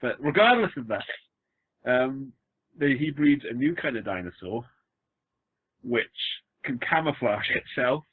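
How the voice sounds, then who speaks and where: A man's voice: medium pitch, big pitch swings, unhurried, long pauses, breathy edge, dry recording. Welsh man on a tinny podcast line. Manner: rambling, joking, trails off and restarts. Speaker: podcast co-host. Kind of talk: casual podcast chat. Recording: phone-like lo-fi dry sound.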